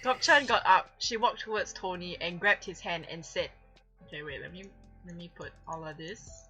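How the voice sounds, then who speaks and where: A woman's voice: lowish voice, normal speed, over music, low-quality recording. A woman giving a giggly, theatrical reading of fan fiction on a live stream, breaking off for casual asides.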